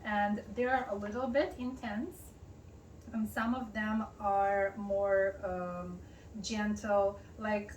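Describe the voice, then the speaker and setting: A woman's voice: mid-range voice, flat delivery, slow pace, darkish tone, highs cut off. Chatty woman, Russian native, roomy room. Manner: chatty, explaining with many fillers. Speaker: interview guest, poet and artist. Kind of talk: casual interview. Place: home interior. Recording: roomy, distant mic, faint hiss.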